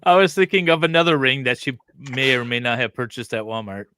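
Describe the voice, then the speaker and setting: A man's voice: medium pitch, expressive, fast talker, bright, forceful tone, a little hiss or hum. A man co-hosting a livestream, reading aloud casually and breaking off for joking asides. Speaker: co-host of a true-crime livestream.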